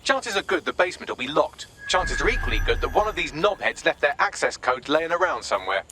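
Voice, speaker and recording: An actor voicing a dry, sarcastic AI assistant. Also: male voice, a little high, very animated, quick pace, noisy background.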